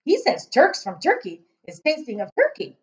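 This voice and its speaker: A woman voicing a huge, boastful male giant from a children's storybook, emphatic and booming, with mangled grammar. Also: huge pitch swings, moderate pace, dull audio. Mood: gleeful, boastful. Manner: emphatic, shouting, mangled grammar and invented words. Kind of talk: children's storybook read-aloud.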